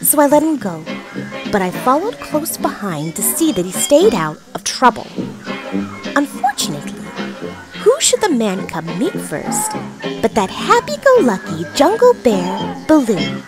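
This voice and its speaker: A woman's voice: on the high side, huge pitch swings, unhurried. A woman voicing an earnest panther guardian who tells a storybook tale with dramatic expression. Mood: earnest storytelling. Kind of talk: scripted storybook narration. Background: music.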